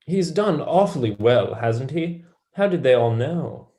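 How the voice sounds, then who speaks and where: A man's voice: mid-range voice, expressive, unhurried, warm tone, close to an everyday voice. A young man, a student actor, playing a wry, dry-witted mathematician in a staged play reading. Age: young adult.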